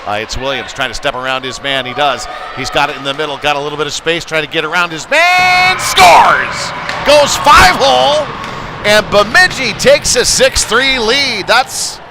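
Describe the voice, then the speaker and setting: A man doing live play-by-play commentary of youth hockey in a conversational way that can rise into excitement on big plays. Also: high-pitched, moderate pace, crowd noise. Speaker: play-by-play sports broadcaster. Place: ice rink, live broadcast. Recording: noisy arena ambience behind the voice.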